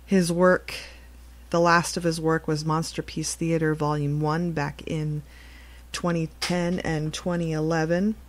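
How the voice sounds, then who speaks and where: A woman with a low voice delivers a solemn announcement as a podcast host. She speaks very slowly and evenly, halting now and then between phrases. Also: flat delivery. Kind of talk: solemn news-style obituary announcement.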